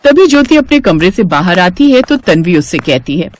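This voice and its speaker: A woman's voice: on the low side, big pitch swings, moderate pace. A woman narrating a scripted family moral story as a storyteller.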